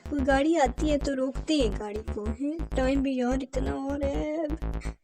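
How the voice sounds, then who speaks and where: A woman voicing a bossy but reassuring child in a children's cartoon, hushing and explaining. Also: high-pitched, steady tone, unhurried, over music. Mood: hushing, worried.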